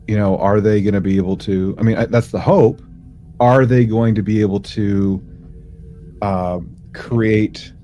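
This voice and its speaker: A man co-hosting a podcast, casually recapping in a halting way, as if thinking aloud. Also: on the low side, mellow. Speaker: podcast co-host. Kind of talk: casual chat recapping a sci-fi audio drama.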